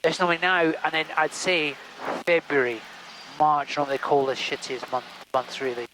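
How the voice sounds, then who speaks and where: A man's voice: fairly high pitch, fairly even intonation, moderate pace. A man instructing in flight over a cockpit headset, casual, reassuring and sweary, with engine noise. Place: small helicopter cockpit in flight. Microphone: aviation headset.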